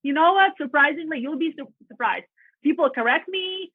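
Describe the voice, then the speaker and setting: A woman from Latvia speaks with a Russian accent, her voice sitting a little high. She tells her story in a chatty, earnest way. The sound is dry and thin, like a phone line. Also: animated, normal speed, dry recording. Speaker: realtor guest. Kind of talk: casual podcast interview.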